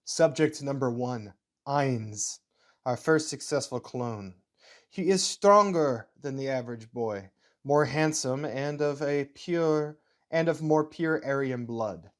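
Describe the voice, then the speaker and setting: A man voicing a formal, menacing Nazi officer with a German accent and a slow, deliberate delivery. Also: medium pitch, lightly acted. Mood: proud.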